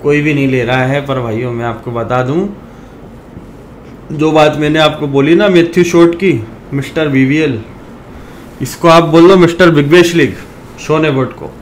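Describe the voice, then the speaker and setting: A man's voice: fairly high pitch, moderate pace, a little hiss or hum. A man from India with a sore throat, talking casually to his viewers, calling them brothers and ranting now and then. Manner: chatty, addresses viewers as brothers, animated rants. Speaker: fantasy cricket YouTuber.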